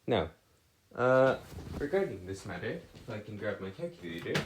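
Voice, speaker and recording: A man giving an explanatory maths lesson as a tutor, with formal, wordy phrasing and halting, hesitant delivery. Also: fairly low pitch, very animated, background noise. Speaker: math tutor. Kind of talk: explanatory maths lesson over written working.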